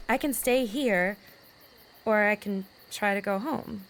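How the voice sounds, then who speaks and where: A woman's voice: medium pitch, slow. A woman playing a frank, troubled teenage girl in a naturalistic audio drama, speaking candidly and casually. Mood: troubled, confiding. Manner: candid, colloquial, mildly profane. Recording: faint outdoor night ambience with crickets.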